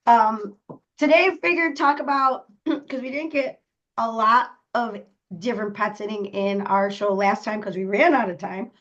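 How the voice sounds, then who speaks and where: Hoarse woman rambling with a cold. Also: fairly high pitch, normal speed, a bit bright. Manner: rambling, many ums, clears throat. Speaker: podcast co-host, dog boarder. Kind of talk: casual podcast talk. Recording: dull lo-fi audio.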